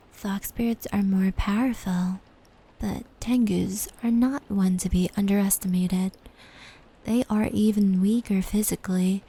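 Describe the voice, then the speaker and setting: A woman playing a gentle, knowing fox spirit in an ASMR roleplay, telling stories in a soft, breathy, soothing voice. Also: medium pitch, rough recording. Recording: steady rain and brushing noise layered in. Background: indoor rain patter and brushing sounds.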